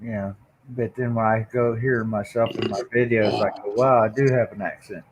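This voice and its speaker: A man with a Southern US accent chatting in a relaxed, easygoing way on a livestream. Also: fairly low pitch, normal speed, background noise. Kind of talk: casual livestream chat.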